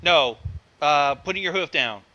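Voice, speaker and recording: A man hosting an online fan fiction reading, chatting casually with swearing in an excitable, exasperated way. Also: fairly high pitch, unhurried, lo-fi sound. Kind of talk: casual, profane online chat.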